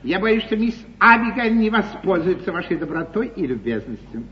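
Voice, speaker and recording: An actor playing a suave, scheming English lord in a classical stage comedy, courtly, ironic and declamatory. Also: male voice, high voice, very animated, moderate pace. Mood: courtly irony. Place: theatre stage before an audience. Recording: dull, noisy 1953 theatre stage recording.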